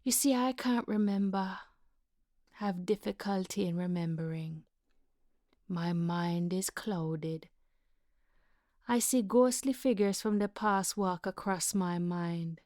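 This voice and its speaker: A woman playing a frightened, confused, worn-down mother in a dramatic monologue, hushed and halting. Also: mid-range voice, dry recording, studio quality, lightly acted. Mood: wistful, confused. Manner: halting, hesitant, repeating herself, wandering.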